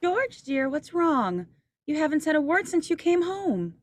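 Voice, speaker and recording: A woman playing a patient, concerned wife and mother in a 1940s radio drama, with a gentle manner. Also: sits high, sing-song, unhurried, dry recording.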